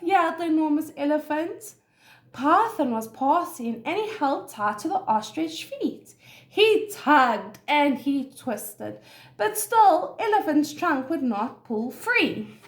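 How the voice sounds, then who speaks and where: A woman reads to young children as a nursery teacher. Her voice is high and very expressive, taking its time over each phrase, in an echoey room. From South Africa. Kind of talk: reading a children's story aloud.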